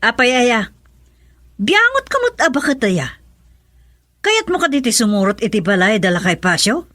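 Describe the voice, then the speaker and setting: A pushy mother from an Ilocano radio drama, with an Ilocano accent. Her voice is fairly high and recorded dry. She nags and insists, always scheming. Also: sing-song, normal speed, fairly bright tone, no room sound. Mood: scheming, chatty. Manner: nagging, insistent. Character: pushy, scheming mother urging her daughter to marry money.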